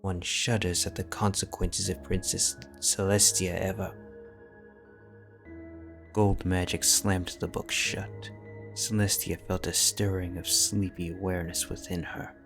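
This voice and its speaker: A man narrating a fantasy fanfiction audiobook in a measured, solemn manner. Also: low voice, little pitch movement, gravelly. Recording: soft background music.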